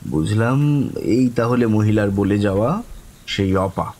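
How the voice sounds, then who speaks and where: A man playing a courteous young man who narrates his own eerie encounter in a horror audio story, lingering and expressive. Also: on the low side, slow pace. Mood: courteous, hesitant. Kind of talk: dramatic first-person horror story narration.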